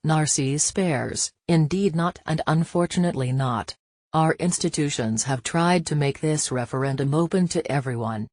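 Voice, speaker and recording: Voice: medium pitch, dry recording, clean studio sound. A synthetic-sounding voice reads a news interview aloud as a script, speaking the question and answer labels along with the text. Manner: even, unhurried read-out, speaking the interviewer and guest labels aloud. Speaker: news reader.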